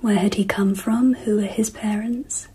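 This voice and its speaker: A woman in a radio drama playing a composed, curious Empress, with a husky, breathy voice and a measured, gently probing manner. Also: medium pitch, fairly even intonation. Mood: cool curiosity.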